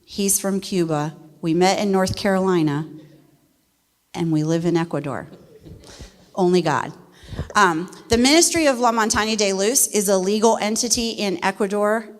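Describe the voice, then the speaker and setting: A woman's voice: medium pitch, normal speed. Folksy woman speaking in a reverberant church. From West Virginia. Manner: folksy and animated, laughs easily. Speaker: missionary speaker. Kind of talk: church testimony talk. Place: church sanctuary. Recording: long reverb of a church hall.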